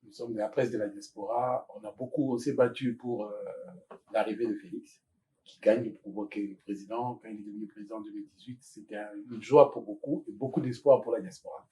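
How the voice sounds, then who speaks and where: A man's voice: lowish voice, fairly even intonation, fairly quick, warm tone, slight background noise, rough recording. A man asking questions as a journalist, in a somewhat hesitant way. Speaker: diaspora journalist, interviewer. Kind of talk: panel interview questioning.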